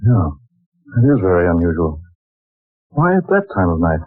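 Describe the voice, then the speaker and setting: A man's voice: sits low, sing-song, normal speed. An actor playing a generous, wisecracking young man with folksy slang in a 1940s radio drama, on a tinny old broadcast recording. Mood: easygoing. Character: breezy, kindly young man, broke but generous.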